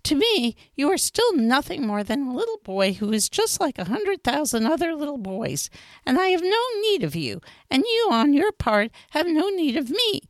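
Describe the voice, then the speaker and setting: A gentle, lonely male fox from a storybook, as a woman puts him on, pleading to be befriended. The voice sits very high and swoops in a lively sing-song. It sounds close and dry. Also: moderate pace, dry recording. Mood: earnest pleading. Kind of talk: storybook reading.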